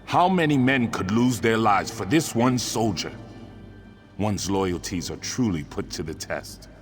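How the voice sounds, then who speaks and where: A man's voice: lowish voice, animated, unhurried, faint music behind. A man voicing a stern, haunted spirit of a war commander in a fantasy game, grave and oratorical. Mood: challenging, grave. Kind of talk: fantasy video game acting.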